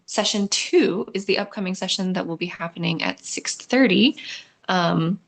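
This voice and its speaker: Relaxed woman hosting an online panel. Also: fairly low pitch. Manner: relaxed, conversational, many "um" fillers. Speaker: host and moderator. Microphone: video call.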